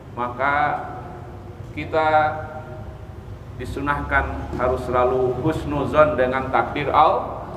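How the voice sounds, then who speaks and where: Slow, echoey male preacher. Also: mid-range voice, fairly bright tone. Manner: didactic, repeats key phrases, pauses to check with listeners. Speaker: Islamic preacher, religious teacher. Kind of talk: sermon, religious lecture to a study group. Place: mosque hall, live religious gathering. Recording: echoey hall with long reverb, background noise.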